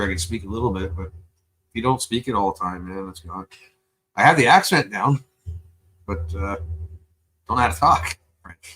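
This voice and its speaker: A man's voice: fairly low pitch, pushed and bright, slight background noise, poor audio. Profane, rambling male streamer from Canada. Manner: loose, profane, rambling with fillers. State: high. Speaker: live streamer reacting to videos. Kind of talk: casual rambling stream chat.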